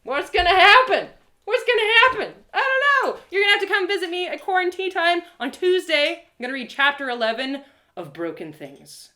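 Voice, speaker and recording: A woman's voice: very high-pitched, very animated, fairly quick, sharp tone. A woman hosting a live story reading, chatting casually and playfully to her viewers.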